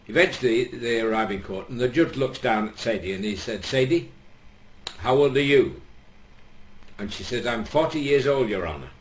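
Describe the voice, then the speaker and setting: A jovial, self-mocking man from England hosting his show and telling jokes. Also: medium pitch. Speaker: joke-telling host. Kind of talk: joke telling.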